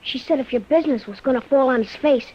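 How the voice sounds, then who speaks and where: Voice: sits high, quick pace. A performer playing an eager, cheeky young son in an old radio drama. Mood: eager, chirpy. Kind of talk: 1950s radio drama acting. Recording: dull old radio recording.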